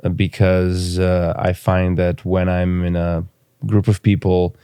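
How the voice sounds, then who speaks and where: A man from Romania, a native Romanian speaker, speaking reflectively as an interview guest and pausing thoughtfully to choose his words. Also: low voice, completely flat delivery, mellow, smooth, no room sound. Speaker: podcast guest, filmmaker.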